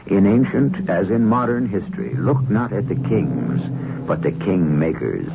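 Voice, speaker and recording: An older man hosting a radio drama, narrating in a measured, theatrical way with a gravelly voice. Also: sits low, expressive, background noise. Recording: dull old radio broadcast. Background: dramatic music.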